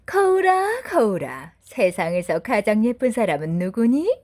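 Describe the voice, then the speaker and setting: Casting: woman narrator playing vain fairy-tale queen, imperious, theatrical, high-pitched with big swoops. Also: sing-song, unhurried, a little mellow. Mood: vain, demanding. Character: vain, imperious beautiful wicked queen questioning her mirror.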